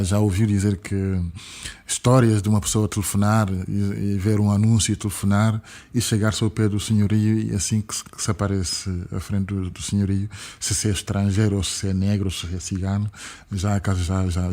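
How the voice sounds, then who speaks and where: A man's voice: fairly low pitch, level tone, a little fast, warm tone. A man from Senegal with a French-tinged accent, talking as a guest in an interview, emphatic and repeating words for stress. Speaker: guest, activist. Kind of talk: conversational interview, earnest. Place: podcast studio.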